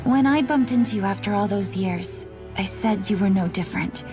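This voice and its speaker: An actress playing a proud, doubtful young highborn woman in a game, earnest and questioning in manner. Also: normal speed, over music, natural voice. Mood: softening.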